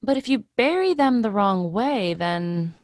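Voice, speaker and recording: A fatalistic office woman played by a woman in a play reading, medium in pitch and closely, dryly recorded. She is unsentimental and matter-of-fact. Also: expressive, measured pace, darkish tone, no echo, studio quality, lightly acted. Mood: cautioning.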